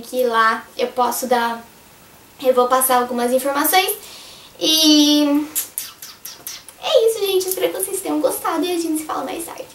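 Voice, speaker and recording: A hoarse young woman with a Brazilian Portuguese accent, chatting casually to her viewers as a vlogger with rambling advice. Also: high voice, fairly bright tone. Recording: echoey room, distant mic.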